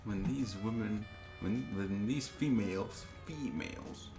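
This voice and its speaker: A man's voice: fairly low pitch, measured pace. A young man reading aloud casually and adding joking commentary. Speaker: co-host reading wiki entries aloud. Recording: roomy echo with music behind.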